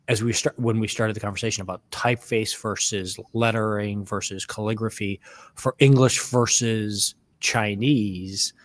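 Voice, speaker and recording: A podcast host asks long, meandering questions and encourages the guest in a casual interview. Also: medium pitch.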